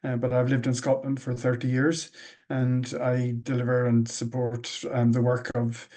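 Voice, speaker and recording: A man's voice: mid-range voice, monotone, moderate pace, warm tone. A middle-aged man with an Irish accent, answering interview questions thoughtfully with many ums and uhs. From Ireland. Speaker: guest, managing director.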